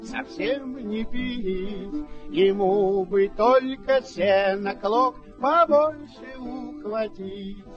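An actor playing a simple, good-natured peasant squire in a radio play, with a folksy, homely and deferential manner. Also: male voice, sits high, drawn-out words, soft background music. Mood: jolly singing. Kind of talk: Soviet radio play acting with comic singing. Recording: muffled old radio recording.

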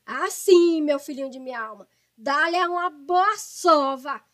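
A woman's voice: unhurried, a bit bright. A woman playing a bossy, scolding older mother who eggs on her son in a comic radio soap opera. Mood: goading, bossy. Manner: shrill insults and commands, theatrical.

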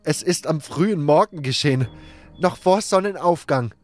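A man's voice: a little high, voice pushed up, natural voice. A man voicing a young male mage in an audiobook, panicked and grief-stricken, stammering as he speaks. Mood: shaken, anxious. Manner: stammering, hysterical, halting. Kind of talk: audiobook character acting.

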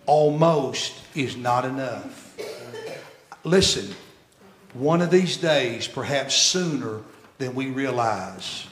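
Man in his 60s, pastor mid-sermon. Unhurried delivery, swings from loud repeats to near-hush, boomy room. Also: lively intonation. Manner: emphatic, repeats phrases and swells loud, then drops very soft. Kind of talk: sermon with storytelling. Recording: echoey church room.

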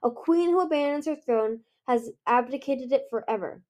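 A woman's voice: high voice, normal speed, natural voice. A woman voicing a furious, defiant young dragon queen in a storybook, choking and spitting out her words. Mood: firm defiance. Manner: shouting, choked, spitting out words.

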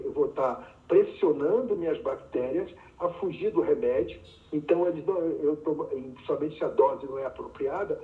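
Man, physician guest, patient teacherly explaining, phone-quality sound, roomy echo. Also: a little high. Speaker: physician and pathology researcher, podcast guest. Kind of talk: explanatory interview answer. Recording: distant, echoey, phone-like remote audio.

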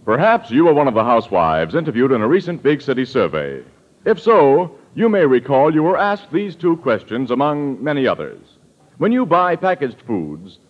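An American man announcing on 1940s radio, reading scripted sponsor copy in a polished, declamatory way. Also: mid-range voice. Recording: dull old radio recording, music behind.

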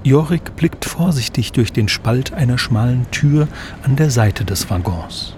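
A man's voice: medium pitch, expressive, unhurried, noisy background. A man reading scripted narration for an audio play. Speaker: narrator and sound designer.

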